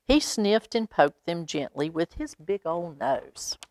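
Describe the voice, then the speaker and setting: Woman, children's storytime reader, slightly low pitch, slow pacing, expressive, chatty asides. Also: no echo. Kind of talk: children's picture book read-aloud with asides.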